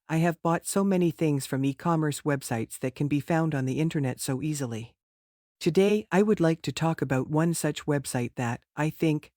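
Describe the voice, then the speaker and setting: A man's voice: fairly high pitch, darkish tone, no room sound, studio quality. A man answering mock speaking-test questions in a formal, rehearsed way. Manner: formal, rehearsed, measured delivery. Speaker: test candidate.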